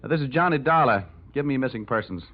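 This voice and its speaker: An actor playing a wry, hard-boiled insurance investigator with an American accent and a sardonic manner, in an old radio detective drama. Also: male voice, medium pitch, expressive, quick pace, close to an everyday voice. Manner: wisecracking, sardonic. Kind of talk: 1940s-50s radio detective drama, narration and dialogue. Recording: dull vintage radio recording with music.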